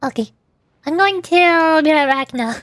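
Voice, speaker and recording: A woman's voice: high voice, expressive, unhurried, dry recording, studio quality. A woman livestreamer chatting casually with her viewers in a rambling, playful way. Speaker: VTuber streamer. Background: soft music.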